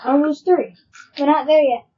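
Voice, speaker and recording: A woman's voice: high-pitched, steady tone, normal speed, highs cut off, a little hiss or hum. A woman chatting casually as a player in a tabletop role-playing game.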